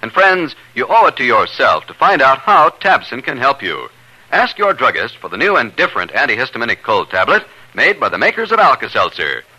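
A man's voice: medium pitch, big pitch swings, brisk, bright, forceful tone, low-quality recording. A male announcer reading a scripted advert emphatically in the style of 1950s radio, on a vintage broadcast recording. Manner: emphatic, spells out words.

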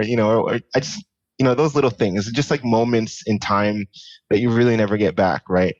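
A man's voice: lowish voice, darkish tone, dry recording, lo-fi sound. A man in his thirties chatting casually and earnestly as a guest in an interview. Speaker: podcast guest, tech business founder.

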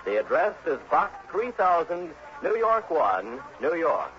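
A man's voice: fairly high pitch, big pitch swings, measured pace. An American man reading a scripted public service announcement in 1960s radio style. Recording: dull old radio broadcast, faint music.

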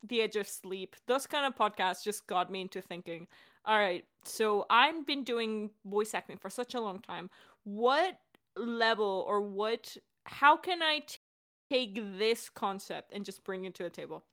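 A woman's voice: mid-range voice, animated, measured pace, fairly bright tone. A woman director and voice actor talking in a chatty, enthusiastic, giggly way in a casual, unscripted Q&A. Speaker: audio drama director and voice actor, hosting a Q&A.

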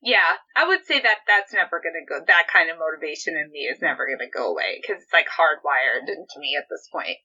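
Candid high-voiced young woman, lo-fi sound. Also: expressive, brisk, few pauses, pushed and bright. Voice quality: high-pitched, girlish voice. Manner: blunt, candid, chatty with frequent restarts. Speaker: podcast guest. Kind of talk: casual interview chat. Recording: dull lo-fi sound.

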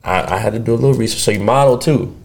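A man's voice: medium pitch, rapid-fire, crowd noise. A man hosting a casual chat show with a slangy, easygoing, hyped-up way of talking. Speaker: show host. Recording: noisy room with clear background noise.

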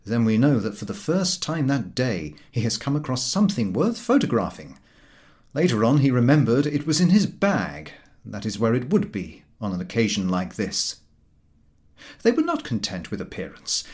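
Man reading with very lively intonation. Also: mid-range voice. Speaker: audiobook reader. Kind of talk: scripted narration of a humorous literary story.

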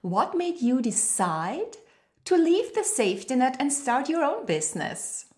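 A woman's voice: high voice, very animated, measured pace, darkish tone. A woman hosting a podcast, reading a guest's bio and asking interview questions in an earnest way. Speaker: podcast host. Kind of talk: scripted intro, then interview questions.

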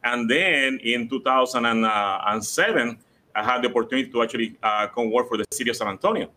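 A middle-aged man from Rico, talking as a guest in a live interview at a convention center. He speaks earnestly, repeating words and filling pauses with "uh". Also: mid-range voice, bright, forceful tone, slight background noise. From Puerto Rico. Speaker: guest, city code department director.

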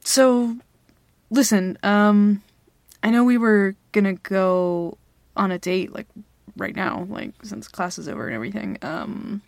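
A woman's voice: medium pitch, a little slow, no echo, clean studio sound, close to an everyday voice. A young woman playing a flustered girl smitten with her best friend in an intimate ASMR roleplay, hesitant, stammering and giggly. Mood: shy, flustered. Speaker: audio roleplay performer. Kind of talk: ASMR girlfriend roleplay, intimate one-sided dialogue.

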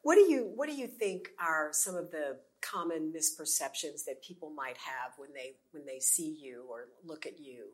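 A woman's voice: deep voice, expressive, normal speed, hardly any pauses, darkish tone. A woman interviewing a guest, affirming her answers and asking open questions. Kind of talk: conversational interview.

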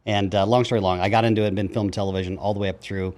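A man in his fifties talks quickly as a guest on a chat show, his voice sitting low. He tells stories with energy and acts out what others said. The style is relaxed, conversational interview talk. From grew up in Japan and Germany. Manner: animated storyteller, acts out quotes. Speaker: guest, psychologist.

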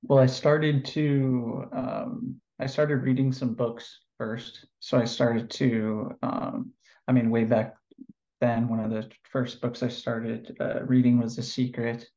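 A man from California being interviewed about his work as an NLP trainer, answering in a relaxed, reflective way with lots of fillers and pauses. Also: mid-range voice, muffled recording.

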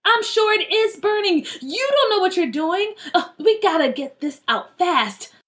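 Lady doing a bossy big sister, high voice, up and down, scolding, storybook. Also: lively intonation, measured pace, a bit bright. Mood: alarmed, scolding. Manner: scolding, urgent, exclaiming. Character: bossy, alarmed, capable older sister. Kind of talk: children's story character voice.

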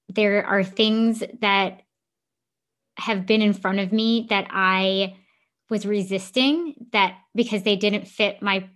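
Mid-pitched woman, casual and agreeable in group chat. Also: fairly bright tone.

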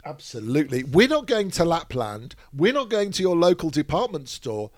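A man's voice: fairly high pitch, very animated, normal speed, no room sound. A middle-aged man from Manchester with a Northern English accent, co-hosting a podcast in casual chat with a dry, grumpy humour. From England. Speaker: podcast co-host.

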